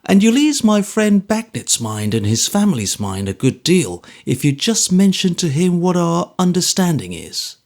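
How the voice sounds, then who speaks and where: A man's voice: sits high, pitched above the performer's own voice, heavily acted. A man voicing a hearty, easygoing former soldier with a bluff, offhand, earnest manner.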